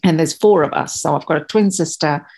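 A woman's voice: low voice, a little fast, creaky, darkish tone, breathy edge. A woman in her fifties from Malawi, a podcast guest talking about her family, hesitant with many fillers and restarts. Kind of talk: casual interview chat.

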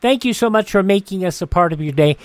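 A man's voice: sits high, lively intonation, quick pace, clean tone, dry recording. A man hosting a classic radio show, giving a friendly promotional sign-off. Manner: friendly promotional host patter. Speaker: radio host.

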